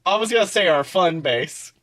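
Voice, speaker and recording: A man co-hosting a podcast, chatting casually and comedically in an animated, freely swearing storytelling manner. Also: sits high, normal speed. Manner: animated, profane, acts out quotes. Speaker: podcast co-host.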